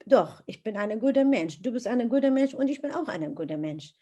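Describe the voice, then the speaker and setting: Middle-aged woman, slightly high pitch, heartfelt interview storyteller. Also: fairly quick. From Iraq. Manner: earnest, animated retelling with many hesitations.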